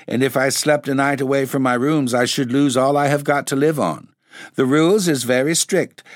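A man's voice: dry recording, studio quality, close to an everyday voice. A man reading aloud, voicing a superstitious old charwoman with a blunt, emphatic country manner. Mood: earnest. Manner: emphatic, dialect-heavy, rising pitch.

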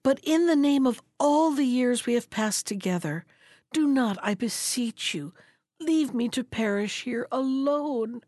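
A woman's voice: on the high side, animated, measured pace, a little mellow, no echo, studio quality, voice pushed up. A woman voicing an old, lonely male turtle who speaks in a shaky, pleading way in a storybook reading.

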